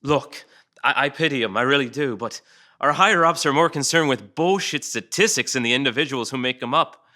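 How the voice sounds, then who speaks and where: A man plays a callous veteran detective in an audio drama read-through. His voice is bright and forceful, at an average pitch. He talks bluntly, with world-weary sarcasm. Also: a little fast, close to an everyday voice. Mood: sardonic. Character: jaded, callous male veteran police detective.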